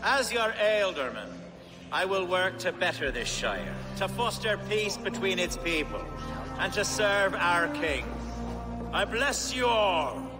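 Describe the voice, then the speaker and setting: An actor playing a proud, stately medieval English lord in a video game, giving grand formal oratory. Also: male voice, high voice, very animated, takes it slowly, full character voice. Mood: triumphant, solemn. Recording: long hall reverb, music behind.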